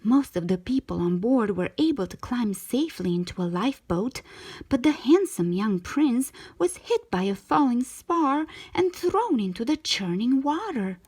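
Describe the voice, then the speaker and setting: A woman reading a children's storybook aloud in an expressive, measured storytelling manner. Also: on the high side, husky. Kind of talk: scripted storybook narration, read aloud.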